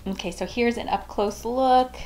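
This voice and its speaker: Female home decor vlogger, higher-pitched, expressive and casual, talking to camera over faint music. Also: moderate pace. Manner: gushing, expressive. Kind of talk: casual, chatty review to camera. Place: furnished bedroom indoors.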